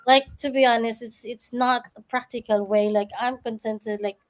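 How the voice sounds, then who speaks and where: A woman's voice: fairly high pitch, fairly even intonation, moderate pace. A woman hosting a group call, chatting casually with lots of "like" and "right?". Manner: chatty, many "like" and "right?" fillers. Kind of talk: casual chat.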